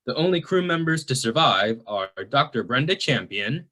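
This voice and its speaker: A man's voice: medium pitch, big pitch swings, moderate pace, pushed and bright. A man playing a cocky, dim heroic spaceship captain with self-important bravado in a campy sci-fi radio play.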